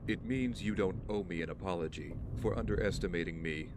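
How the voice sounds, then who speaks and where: A male actor as a wealthy young man in an audio drama, hurt and tired. His voice is low and measured, and he keeps evading. A noisy car ambience runs clearly underneath. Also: close to an everyday voice. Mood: weary, reflective. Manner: measured, evasive. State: injured, weary. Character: wounded, guarded wealthy young man, weary but composed.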